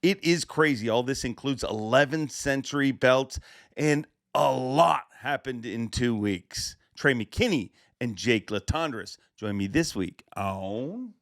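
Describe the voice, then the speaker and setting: Male podcast host, slightly raspy, excited and emphatic, dry close sound. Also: mid-range voice, slow pace, no room sound. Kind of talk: casual podcast monologue.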